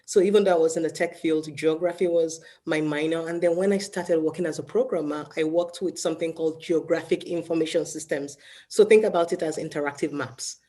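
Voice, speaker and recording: Low, mellow woman from Nigeria. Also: brisk. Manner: relaxed, fills with "you know". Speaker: guest. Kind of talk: casual interview answers.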